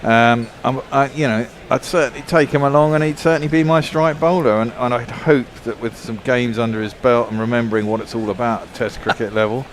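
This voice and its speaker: A man's voice: mid-range voice, fairly even intonation, brisk, few pauses, a little hiss or hum. A man commentating on cricket in casual chat, thoughtful and loose with filler words. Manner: thoughtful, lots of "you know" fillers.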